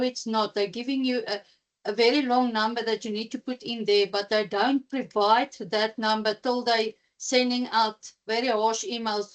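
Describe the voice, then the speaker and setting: A woman sounding exasperated as she raises a complaint in an online meeting. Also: fairly high pitch. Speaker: member raising a complaint.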